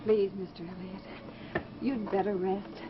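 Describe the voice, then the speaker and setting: An actress playing a gentle, guarded young woman in an old-time radio drama, polite and pleading. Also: mid-range voice, flat delivery, unhurried, close to an everyday voice. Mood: guarded, soothing. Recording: muffled old radio recording, music behind.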